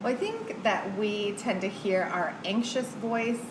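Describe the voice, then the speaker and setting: A woman's voice: medium pitch, animated, unhurried. Reassuring woman in her 30s, casual podcast chat, roomy echo and bg noise. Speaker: podcast guest.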